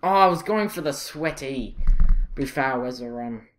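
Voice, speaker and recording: A man's voice: mid-range voice, a little slow. A young man with a Scottish accent talks over his football game footage in a loose, chatty way. He fills gaps with ums and uhs and corrects himself mid-sentence, and his voice jumps up when things go well. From Scotland. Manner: chatty, excitable, many fillers and self-corrections. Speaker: gaming channel host. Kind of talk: casual gameplay commentary.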